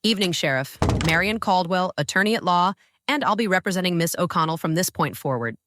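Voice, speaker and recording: A woman's voice: on the low side, expressive, background noise, close to an everyday voice. Poised, cutting woman attorney, played by an actress. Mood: cool, commanding. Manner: formal, deliberate, dryly sarcastic. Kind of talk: noir audio drama dialogue.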